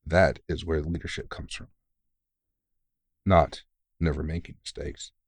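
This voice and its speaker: A man's voice: very deep voice, little pitch movement, measured pace, breathy edge, dry recording, studio quality, full character voice. A man playing a big veteran vampire hunter in an intimate audio roleplay, talking bluntly and sweary, yet earnest and reassuring. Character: big, gruff but caring veteran male vampire hunter, mentoring.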